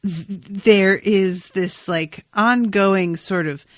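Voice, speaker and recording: A woman hosting a podcast, casually recapping an episode in an animated, amused, sometimes sweary way. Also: medium pitch, extremely slow, no room sound. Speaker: podcast host.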